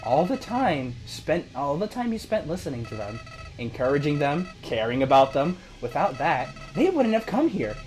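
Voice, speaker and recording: A woman's voice: low voice, sing-song, normal speed, darkish tone, soft background music. A woman voicing a small, sneering male villain flower who gloats and threatens. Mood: gloating. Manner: gloating, menacing, cackling.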